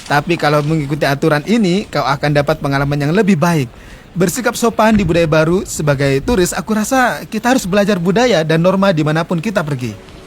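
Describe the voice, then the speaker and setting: A man in his forties explaining customs in a casual interview, quick and earnest. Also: on the high side, a little hiss or hum. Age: 40s. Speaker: interview guest.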